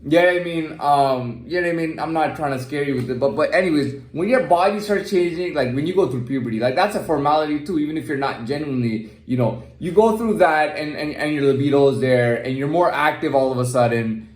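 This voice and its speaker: A man's voice: fairly high pitch, brisk, hardly any pauses. A man talking candidly in a casual, rambling personal monologue, repeating himself and checking that the listener follows. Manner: candid, repetitive, often checking with "you know what I mean". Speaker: vlogger telling personal stories. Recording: echoey, roomy sound.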